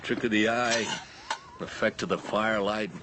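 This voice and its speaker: Deep, brooding American carnival drifter played by a man. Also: steady tone, moderate pace, noisy background, natural voice. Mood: ominous. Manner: brooding, dramatic, with hushed dwelling on words. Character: bitter, drifting carnival man covered in living tattoos. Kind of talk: radio drama acting.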